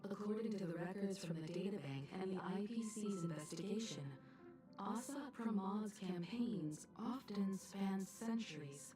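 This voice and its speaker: An actress playing an earnest, gentle young woman in a video game, recounting legends in a measured, sincere way. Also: mid-range voice, recorded from a distance, over music, close to an everyday voice. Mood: grave, curious. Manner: measured, sincere, story-telling delivery. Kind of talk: scripted video game dialogue.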